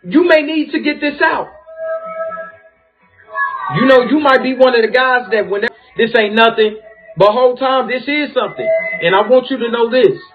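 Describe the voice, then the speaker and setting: A man's voice: extremely high pitch, normal speed. A man in his thirties hosting a chat and speaking to his guest in an encouraging, affirming way. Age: 30s. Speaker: host. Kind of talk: supportive casual chat. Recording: tinny phone-like audio with faint music.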